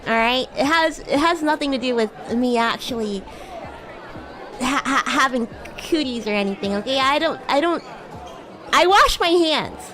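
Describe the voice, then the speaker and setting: A woman acts out a bratty young demon girl in a livestream roleplay. Her voice is high and swings animatedly up and down. She is coy and teasing, quick to get defensive, and chats casually over background music. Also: expressive, sharp tone, a faint crowd. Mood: flustered, defensive. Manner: teasing, coy, defensive, stammering when flustered. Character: bratty, cute, flustered young female demon classmate. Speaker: VTuber streamer. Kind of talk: improvised VTuber roleplay, casual chat with viewers.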